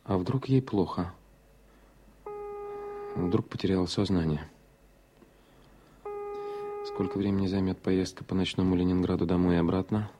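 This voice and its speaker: A wry bachelor doctor. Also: male voice, low voice, background noise. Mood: worried. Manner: wry, teasing, warmly ironic. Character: ironic bachelor doctor, teasing yet caring man. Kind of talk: 1980s radio drama acting. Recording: music playing behind. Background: music.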